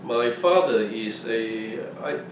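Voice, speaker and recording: Older man, from Hong Kong, Fujianese first language, halting repeats, very reverberant room, faint hiss. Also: medium pitch, expressive. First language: Fujianese (Minnan). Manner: halting, many restarts and repeated words. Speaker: oral history interviewee. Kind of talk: casual recollection. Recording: echoey room, mic at a distance, faint noise.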